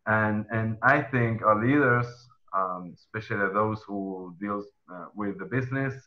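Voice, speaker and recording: Young Argentine man, Spanish accent, low-pitched, halting panel host, muffled call audio. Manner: hesitant, many ums and restarts. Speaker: panel moderator, HR consultant. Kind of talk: webinar panel introduction. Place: indoor room at home. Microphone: headset earphones on video call.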